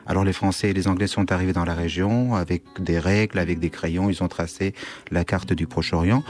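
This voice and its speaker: Soft-spoken Syrian man. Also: deep voice, fairly even intonation, brisk, faint music behind. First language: Kurdish. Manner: hesitant, many restarts and fillers. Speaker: guest writer. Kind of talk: radio interview.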